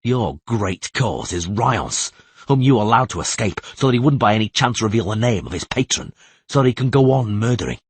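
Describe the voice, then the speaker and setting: A man performs a wounded fantasy monster hunter with a very husky, low voice. He speaks slowly, with cold anger and biting sarcasm, recorded close and dry. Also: expressive, no echo. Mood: bitter anger. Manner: slow, bitterly sarcastic.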